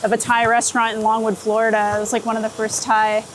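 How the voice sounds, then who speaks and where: A woman's voice: a little high, monotone, fast talker, rough recording. A woman chatting informally in an easygoing, giggly way, recorded outdoors as a photographer at work. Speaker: photographer being interviewed while shooting street portraits. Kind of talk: casual unscripted chat. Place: outdoors on a city street, under a bridge. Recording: outdoor city and traffic noise.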